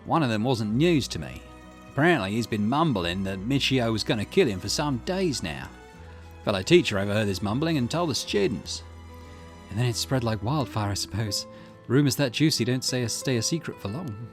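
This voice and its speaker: A man reading video game dialogue aloud in an expressive way, with the occasional small stumble. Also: mid-range voice, normal speed, faint music behind. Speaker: gaming channel host reading a visual novel aloud.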